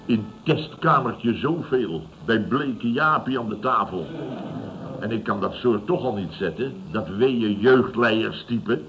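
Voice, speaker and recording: A man's voice: on the low side, lively intonation, measured pace, noisy background. An actor playing a pompous, scornful, self-satisfied contractor boss in a radio comedy, repeating himself and laughing at his own jokes. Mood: self-satisfied, amused. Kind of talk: radio comedy serial acting.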